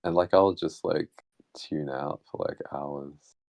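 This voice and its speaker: A man, a guest in an online interview, talking reflectively and haltingly with many fillers; his first language is Hakka Chinese. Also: extremely low pitch, creaky, darkish tone, dry recording, clean studio sound. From Australia. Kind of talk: casual reflective conversation. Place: online voice chat.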